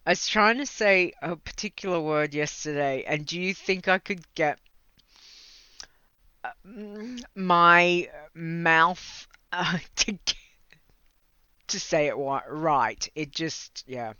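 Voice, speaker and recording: An Australian host talks unhurriedly in a bright, smooth voice, drifting off on tangents and laughing between words. The sound is dry and a little dull, like a modest home mic. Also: mid-range voice, slow, dry recording. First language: English. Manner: rambling, laughs often, stumbles over words. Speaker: livestream host. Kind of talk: casual chat with viewers.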